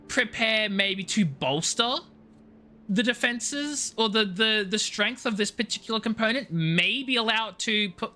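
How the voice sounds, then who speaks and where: This is a man with a high, bright voice that swings widely as he chats on a game stream. He talks casually and expressively, stretching out words for emphasis. Music plays behind him. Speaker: live streamer. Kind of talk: casual game stream chat, reading story dialogue and viewer messages aloud.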